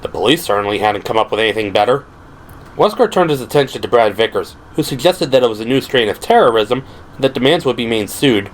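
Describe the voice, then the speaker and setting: A man narrates an audio drama in a measured, scripted style. His pitch sits in the middle range, and steady noise can be heard behind him. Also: poor audio.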